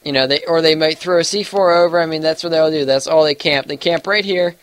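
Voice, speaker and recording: Stuffy-nosed young guy, kinda higher voice, rambling game commentary with lots of um and like. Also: a little fast, fairly bright tone, slight background noise. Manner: rambling, full of fillers and hedges. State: congested with allergies. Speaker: gaming YouTuber. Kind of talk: casual gameplay commentary.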